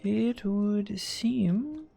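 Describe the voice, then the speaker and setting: A male game streamer with a gravelly, creaky voice gives offhand commentary. He mutters and reads on-screen text aloud, with faint music behind him. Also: high voice, very slow, warm tone.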